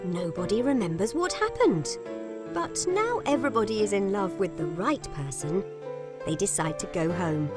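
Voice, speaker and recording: A woman narrating a children's story in simple, clearly scripted lines with expressive delivery. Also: mid-range voice, normal speed. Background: music.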